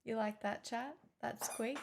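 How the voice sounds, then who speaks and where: A woman's voice: on the high side, fairly even intonation, measured pace, vocal fry, heavy background noise. Playful, teasing young woman streamer. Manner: playful, teasing, self-mocking. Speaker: livestreamer. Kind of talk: casual stream chat with viewers.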